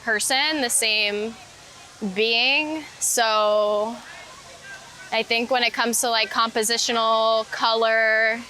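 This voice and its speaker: Bright, higher-pitched woman chatting reflectively. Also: steady tone, slow, poor audio. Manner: meandering, says "like" often. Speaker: interviewed street photographer and painter. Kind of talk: casual reflective chat while out walking. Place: outdoors in a city park.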